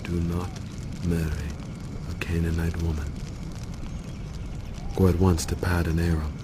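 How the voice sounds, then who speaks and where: Deep-voiced grave old patriarch. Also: male voice. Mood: stern, commanding. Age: older. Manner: grave, deliberate, commanding. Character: aged patriarch father, grave, commanding, blessing his son. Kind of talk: audio drama Bible acting. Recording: noisy ambience behind voice.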